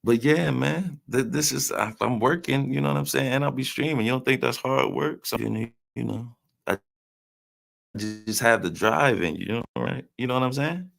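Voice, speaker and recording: A man's voice: medium pitch, normal speed, vocal fry, a bit bright. A man hosting a casual livestream, chatting loosely and joking with viewers in the chat. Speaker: livestream host. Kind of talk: casual live-stream commentary, reading chat.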